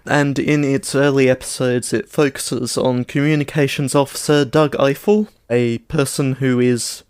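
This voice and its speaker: A man co-hosting a podcast in casual conversation, with a measured, dry and hesitant delivery. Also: mid-range voice, fairly even intonation, a little mellow, smooth, dry recording. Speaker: podcast co-host. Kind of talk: casual chat.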